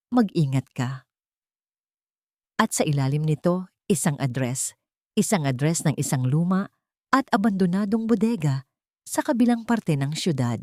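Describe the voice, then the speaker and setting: A woman's voice: low voice, darkish tone, no echo, clean studio sound. A woman giving a dramatic, scripted narration of a story.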